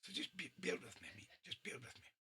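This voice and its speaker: A hoarse man hosting an online soul radio show, chatting casually and laughing between records. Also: high voice, big pitch swings, fast talker, pushed and bright, a little hiss or hum. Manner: chatty, laughs often, friendly asides to listeners. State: hoarse, losing his voice. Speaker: radio DJ and host.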